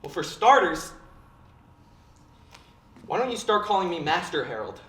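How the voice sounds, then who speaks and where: A woman's voice: on the low side, sing-song, a little slow. Woman playing a sneering, arrogant teenage boy. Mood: haughty. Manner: sneering, flares into shouting. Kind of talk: stage play acting. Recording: roomy stage recording from a distance, faint hiss.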